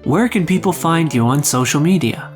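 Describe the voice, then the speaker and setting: Male podcast host over soft music. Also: fairly high pitch, animated, normal speed. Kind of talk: scripted podcast hosting.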